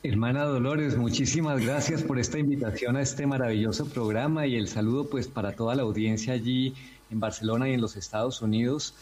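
A husky-voiced man with a Colombian accent speaks as a guest on a religious radio programme. His pitch is medium and his manner is polite and explanatory. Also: fairly even intonation, fairly quick, a little mellow. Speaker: guest, missionary and lecturer. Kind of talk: radio interview. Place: remote connection from Lima.

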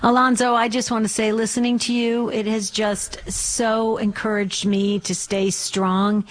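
A woman's voice: a little high, flat delivery, unhurried. A woman co-hosting a religious call-in radio show, chatting in an informal, encouraging way. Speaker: radio co-host.

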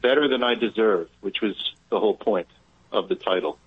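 Over a narrow, muffled phone line, a man with a lowish voice calls in to a radio show. He speaks reflectively and haltingly, repeating words as he thinks. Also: slightly rough, no echo. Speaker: phone-in caller. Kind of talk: thoughtful conversational talk. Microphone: telephone.

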